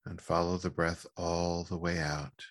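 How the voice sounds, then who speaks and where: A man leading a guided meditation in a calm, gentle, measured manner with long pauses. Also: low voice, dry recording.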